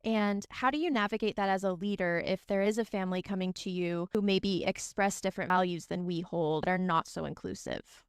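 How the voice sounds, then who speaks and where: A woman hosting an interview podcast, calm and affirming as she reflects and asks questions. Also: lowish voice, fairly quick, few pauses, clean tone, dry recording, studio quality. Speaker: podcast host.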